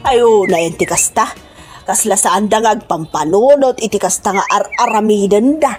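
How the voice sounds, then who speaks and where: A woman's voice: medium pitch, moderate pace, a little mellow, lightly acted. A woman playing a gossiping, disapproving village woman in a comedy radio drama. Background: background music.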